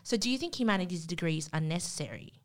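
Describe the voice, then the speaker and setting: Low-voiced woman interviewer. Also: animated, fast talker. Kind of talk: casual conversational interviewing.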